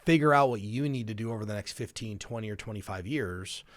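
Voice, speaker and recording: A man's voice: lowish voice, fast, no room sound, clean studio sound. A middle-aged man hosting a personal finance show, explaining things conversationally and acting out everyday examples as he goes. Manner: explanatory, illustrates with hypothetical first-person quotes. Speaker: financial planner and show host.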